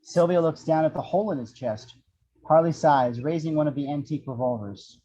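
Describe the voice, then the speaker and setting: A man's voice: fairly high pitch, fairly quick, dark tone. A man reading scripted action descriptions as the narrator of an amateur table read, in muffled audio.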